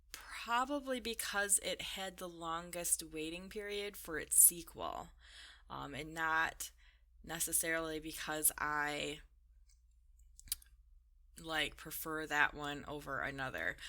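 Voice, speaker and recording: A woman with a very bright, slightly low voice talks casually as a gaming vlogger. She takes her time, speaking a little slowly as she rambles informally through a list of questions in a giggly manner. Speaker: video game vlogger. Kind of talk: casual chat, answering a list of questions over gameplay.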